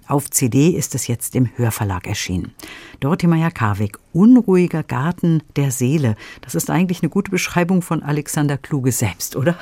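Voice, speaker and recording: A man's voice: fairly high pitch, expressive, moderate pace, dark tone, no room sound. A man hosting a radio review programme, presenting scripted introductions and questions in an orderly, informative way. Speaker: radio host. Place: dry studio.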